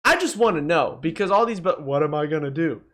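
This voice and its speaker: Animated high-voiced young guy. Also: fast talker. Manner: animated, joking, mildly profane. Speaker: gaming video creator. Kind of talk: casual opinionated ranking commentary.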